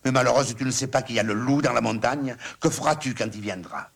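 A man doing a fretful old farmer, raised and husky. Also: medium pitch, quick pace, fairly bright tone, pitched above the performer's own voice. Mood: pleading, scolding. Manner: raised, exclaiming.

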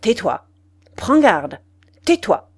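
A woman's voice: medium pitch, animated, moderate pace, a little mellow, close to an everyday voice. A cold, cynical lover in a storybook drama, voiced by a woman. He speaks with haughty self-assurance. His manner is curt and commanding, that of a man used to dominating. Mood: stern warning. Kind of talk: dramatised audiobook dialogue.

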